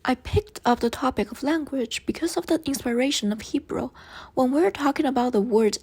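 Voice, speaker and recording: A young woman whose first language is Chinese, reading a class presentation in a careful, measured way with light breathy passages. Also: high-pitched, a little mellow. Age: young adult. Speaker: student presenter. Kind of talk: read class presentation narration.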